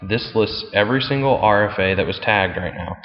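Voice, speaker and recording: A man giving a casual, plainspoken step-by-step tutorial as he walks through a screen. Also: fairly quick. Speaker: fantasy football league commissioner. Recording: muffled, boomy room with long reverb.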